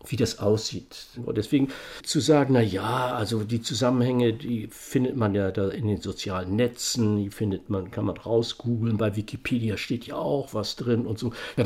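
A man in a spontaneous discussion, talking in a halting, digressive and insistent way. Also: medium pitch, a little mellow.

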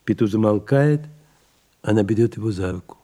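A man's voice: on the low side, moderate pace, dark tone. A man narrating an audio drama, reading out short scripted stage directions.

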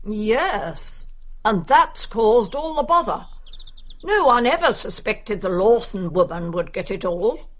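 A woman's voice: medium pitch, big pitch swings, slow. An actress playing a nosy, brusque older English village woman in a radio drama, gossiping wryly. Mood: wry, confiding.

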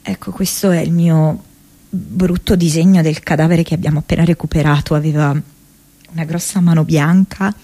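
An actress playing an earnest, haunted young American woman activist in an intimate radio drama monologue, speaking haltingly with hesitant pauses and repeated words. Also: low-pitched, fairly even intonation, warm tone. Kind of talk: intimate radio drama monologue, diary read as spoken memories.

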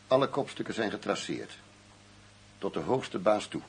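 An actor playing a blunt, world-weary middle-aged undercover detective in a radio drama. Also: male voice, lowish voice, expressive, moderate pace. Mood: confident, scheming. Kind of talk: Dutch radio drama acting. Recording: radio play with clear background hum.

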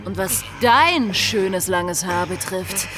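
A woman playing a defiant, fearless young gentlewoman, urgent and commanding, in a radio drama. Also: mid-range voice, lively intonation, takes it slowly, over music. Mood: cold, vengeful.

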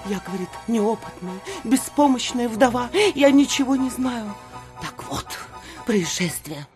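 An actress playing an excitable, breathless provincial society lady who gossips with gushing, theatrical alarm in an audio drama. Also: fairly high pitch, a little slow, music in the background. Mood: flustered. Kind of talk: audio drama acting of classic literature.

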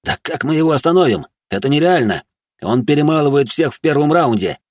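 A man voicing a flustered, helpless assistant who yells stammering protests. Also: on the high side, animated, brisk, dry recording, studio quality, voice pushed up. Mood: panicked protest.

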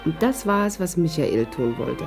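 A woman's voice: deep voice, expressive, normal speed, vocal fry, over music. An actress playing a witty, spirited, worldly woman in a 1950s radio drama, wry and articulate as she narrates and talks. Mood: wry narration.

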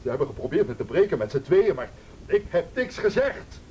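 A man's voice: very animated, brisk, warm tone. An actor playing a menacing, desperate man who bullies and pleads with his partner in a radio play, in a coarse, commanding way. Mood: pleading. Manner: coarse, commanding, swinging between threats and pleading. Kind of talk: Dutch radio play acting. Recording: noisy radio play recording with rumble.

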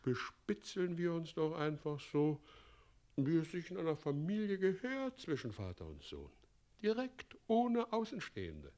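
An actor playing a scheming, pompous middle-aged president in a German radio play, swinging theatrically from commands to wheedling. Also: male voice, on the high side, big pitch swings, measured pace, dark tone. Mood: smug, scheming. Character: scheming, pompous tyrant president, doting mockingly on his son.

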